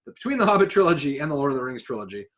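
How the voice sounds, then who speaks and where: A man co-hosting a podcast, talking in an enthusiastic, informal way as he pitches his ideas. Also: big pitch swings, very fast, heavy background noise. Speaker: podcast co-host. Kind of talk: casual podcast chat.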